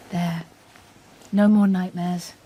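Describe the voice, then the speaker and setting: A weary mother in a radio drama, tenderly soothing her child. Her voice is very breathy and husky, dark and soft, held close and intimate. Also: mid-range voice, exaggerated voice.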